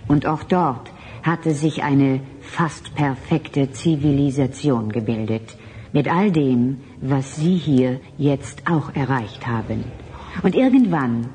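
A woman playing a calm, solemn transhuman emissary in a German radio drama, speaking in deliberate, formal, oddly stilted phrases. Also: very deep voice, a little hiss or hum, exaggerated voice. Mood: solemn, earnest.

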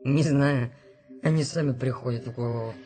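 A man's voice: mid-range voice, steady tone, normal speed, dark tone, close to an everyday voice. An actor in a radio play playing a whimsical unborn man who broods on life in terse, wistful phrases. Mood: gloomy. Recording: music behind the voice.

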